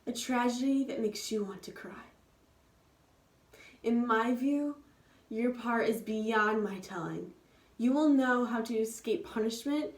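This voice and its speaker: A young woman giving a loud, urgent, expressive dramatic reading of a tragedy. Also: a little high, unhurried, long pauses. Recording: echoey room, distant mic.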